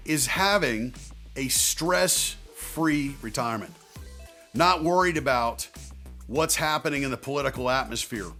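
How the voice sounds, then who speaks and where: Higher-pitched male financial advisor over music. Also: creaky, a bit bright. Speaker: financial advisor and video host. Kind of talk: direct-to-viewer advice talk.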